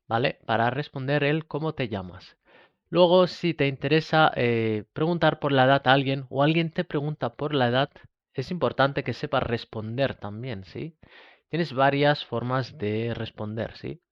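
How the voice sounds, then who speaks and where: A man from Spain teaching a beginner Spanish lesson, slowly repeating each example phrase. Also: medium pitch, no echo, dull audio.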